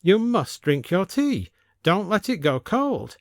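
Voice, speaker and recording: A man's voice: high-pitched, sing-song, takes it slowly, no room sound, clean studio sound, voice pushed up, exaggerated voice. A man voicing an imperious, defiant aristocratic lady with haughty commands in an audio story. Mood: eerily insistent.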